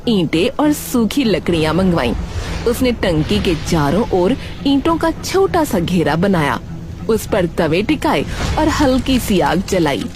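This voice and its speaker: Animated woman narrating a cartoon story. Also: medium pitch.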